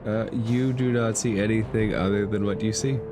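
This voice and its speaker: A man with a fairly low voice runs a tabletop game as dungeon master. He narrates and rules casually, in a deadpan way, with music plainly playing behind him. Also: normal speed.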